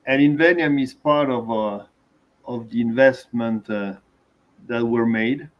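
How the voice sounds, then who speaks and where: A man from Venezuela with a Venezuelan Spanish accent, introducing himself as an investor on a panel, measured and hesitant. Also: mid-range voice, highs cut off.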